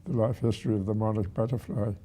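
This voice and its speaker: A man of about a hundred with a husky, aged voice, reminiscing haltingly as a retired museum director. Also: on the low side, fairly even intonation, warm tone. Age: 100. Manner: halting, with repeated words and pauses.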